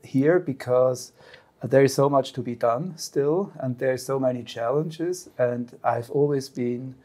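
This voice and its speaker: A man's voice: mid-range voice, unhurried, warm tone. A middle-aged male psychiatrist answering as a guest on an expert panel, earnest and reflective, with his phrases often trailing off.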